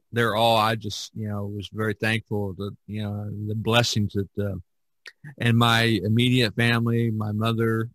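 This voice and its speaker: A middle-aged man chatting casually as a podcast host, rambling and halting as he searches for words. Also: fairly low pitch, fairly bright tone, no room sound, poor audio. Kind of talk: casual chat.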